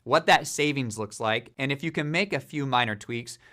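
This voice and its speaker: A man giving a steady, businesslike corporate explainer about a supplier program, with frequent fillers. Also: medium pitch, normal speed. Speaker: company leader presenting a supplier program.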